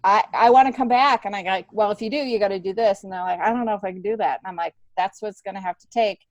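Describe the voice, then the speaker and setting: A middle-aged woman talking casually and candidly as an interview guest, telling stories in an animated way and acting out bits of dialogue. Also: mid-range voice, fast talker, dry recording, lo-fi sound. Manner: animated storytelling, acts out remembered dialogue. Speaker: comedian and TV worker, podcast guest.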